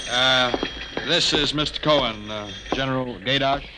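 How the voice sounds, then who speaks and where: An actor playing a dutiful, tactful military aide in an old-time radio drama, speaking with careful deference. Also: male voice, medium pitch, measured pace, close to an everyday voice. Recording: noisy old radio broadcast recording.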